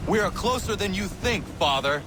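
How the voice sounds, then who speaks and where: An actor playing an earnest, stoic young warrior son in a video game, speaking tersely and seriously. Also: male voice, sits high, animated, normal speed. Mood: focused. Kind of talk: dramatic video game acting. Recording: noisy action ambience behind.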